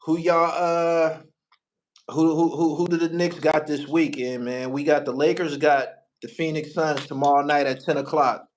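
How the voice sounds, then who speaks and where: Higher-pitched New man, casual and emphatic. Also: little pitch movement, moderate pace, slight background noise. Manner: emphatic, repetitive, profane. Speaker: podcast host. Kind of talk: casual street-slang talk.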